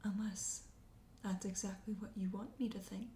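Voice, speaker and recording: A woman voicing a teasing, flirtatious, self-assured vampire host in a soft, breathy ASMR whisper. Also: mid-range voice, fairly even intonation, natural voice. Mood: teasing, menacing. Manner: teasing, slow, coaxing. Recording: roomy echo, faint background noise.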